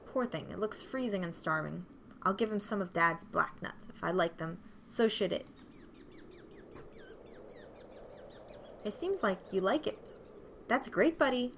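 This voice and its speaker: A woman's voice: medium pitch, expressive, moderate pace, gravelly, fairly bright tone, slight background noise. A woman voicing an earnest little boy in a visual novel, eager, innocent and a little self-important. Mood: gentle, friendly. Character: earnest little boy playing house guardian and explorer.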